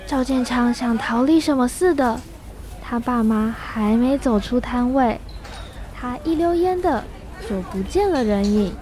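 A woman's voice: fairly high pitch, a little slow, mellow, a faint crowd. A young woman reading scripted narration for a children's radio story. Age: young adult.

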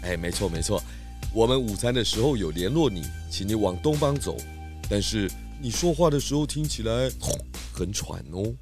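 Man playing low-voiced confident pig detective. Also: lively intonation, normal speed, music in the background, close to an everyday voice. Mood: serious, deducing. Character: confident male pig detective leading investigations. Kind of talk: children's audio drama.

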